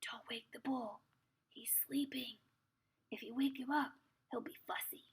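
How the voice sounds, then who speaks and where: A woman's voice: fairly high pitch, expressive, normal speed, creaky, sharp tone, full character voice. A woman voicing a mischievous, carefree little girl in a storybook, playful and exclamatory. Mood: hushed whisper.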